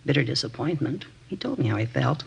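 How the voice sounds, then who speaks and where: An actor playing a confiding, disapproving witness in an old radio drama, with a raspy, creaky voice. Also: male voice, mid-range voice, animated, fast, a little hiss or hum. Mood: pitying, disapproving. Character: gossipy, pitying acquaintance giving a witness statement. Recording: dull, lo-fi old radio recording.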